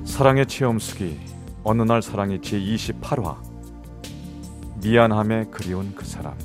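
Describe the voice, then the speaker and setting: A man's voice: fairly low pitch, normal speed, music in the background. A man reading a scripted, sentimental introduction as the announcer of a radio drama programme.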